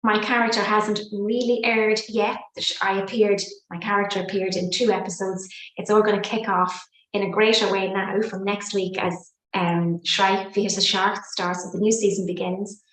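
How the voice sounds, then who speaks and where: Expressive Irish woman in her fifties. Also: medium pitch, normal speed, echoey room, low-quality recording. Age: 50s. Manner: warm, expressive and fluent, with folksy sayings. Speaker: podcast guest, psychotherapist and coach. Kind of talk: reflective interview talk.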